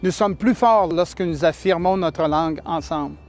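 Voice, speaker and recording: High-voiced man in solemn rallying voice-over. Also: moderate pace, darkish tone, faint music behind. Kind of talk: scripted voice-over.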